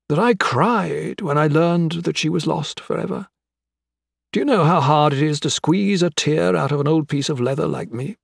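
A man voicing an older gentleman villain in an audiobook, with florid, mock-courteous, taunting speech. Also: a little high, creaky, breathy edge, no room sound, studio quality, voice pushed up, heavily acted. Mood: grim accusation. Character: menacing, theatrical old gentleman crime boss, mocking and sentimental. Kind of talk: dramatic audiobook character acting.

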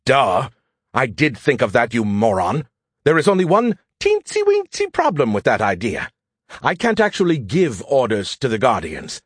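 A man voicing an arrogant, excitable alien artificial intelligence in an audiobook, with a sarcastic, condescending, theatrical manner. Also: mid-range voice, normal speed, slightly rough, no room sound, clean studio sound. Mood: mocking, exasperated. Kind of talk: audiobook character voice.

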